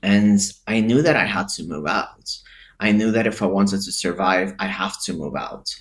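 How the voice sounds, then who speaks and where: Very deep-voiced reflective man. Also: expressive, normal speed. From Eastern Europe, Lithuania and Ukraine. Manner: reflective, thinking aloud. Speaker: commentator. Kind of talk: casual opinion commentary.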